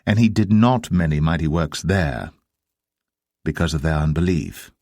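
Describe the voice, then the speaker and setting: A man narrating dramatised scripture in a solemn, measured manner. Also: rumbling low, lively intonation, darkish tone, no echo, studio quality.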